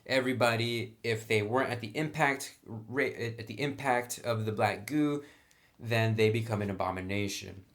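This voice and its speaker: A man's voice: on the low side, normal speed, a bit bright. A man giving a casual, explanatory review as a tabletop game reviewer, with some enthusiasm. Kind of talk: casual product review talk.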